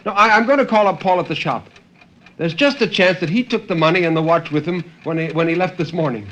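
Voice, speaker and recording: A man's voice: on the high side, fast, a bit bright, pitched above the performer's own voice, lightly acted. A man voicing an anxious, evasive wife on the telephone, in a 1950s radio reading. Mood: hesitant, evasive. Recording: noisy 1950s radio recording.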